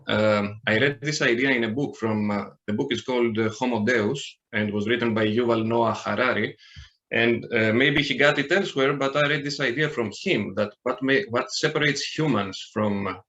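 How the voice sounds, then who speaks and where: A man's voice: mid-range voice, fairly bright tone, dull audio. A man from Greece with a Greek accent, a podcast guest talking in a hesitant way with many fillers and restarts. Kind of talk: casual podcast chat.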